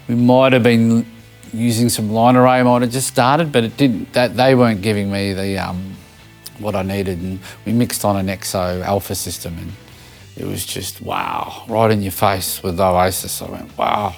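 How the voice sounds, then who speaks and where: A mature Australian man being interviewed as a sound engineer, telling anecdotes informally in halting phrases. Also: on the low side, creaky. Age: middle-aged or older. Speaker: interviewed live sound engineer and audio company owner. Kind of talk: casual interview reminiscing.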